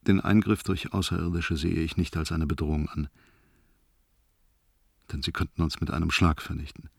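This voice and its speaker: An actor playing a sombre, resigned male astronaut in a radio play, with a gravelly, husky voice and a measured delivery. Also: rumbling low, steady tone, no room sound, studio quality, heavily acted. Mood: sombre, reflective. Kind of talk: German radio drama, log-entry monologue.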